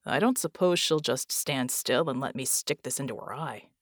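A woman voicing a hulking, armored male hero who is despairing and reluctant, muttering and hissing in breathy whispers. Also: mid-range voice, animated, normal speed, no room sound, studio quality. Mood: hushed despair.

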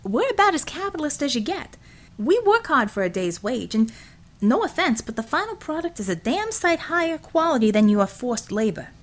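A plain-spoken American working man from an audiobook, acted out by a woman narrator. He is a heavy-set union contractor with folksy, blunt shop talk and an American accent. The pitch sits raised and bounces about expressively. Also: sing-song, moderate pace. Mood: defensive.

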